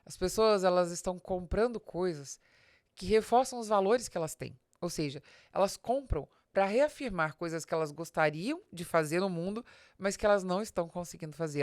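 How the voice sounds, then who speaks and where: A Brazilian woman with a fairly low voice presents a business podcast. She explains in a didactic, direct way, stressing key words and putting questions to the listener. The sound is dry, with very little room in it. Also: no room sound. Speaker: podcast host and business advisor.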